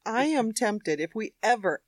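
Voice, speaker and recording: A woman's voice: a little high, animated, measured pace, no echo. Chatty woman co-host, dry studio sound. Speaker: radio show co-host. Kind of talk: casual chat and banter.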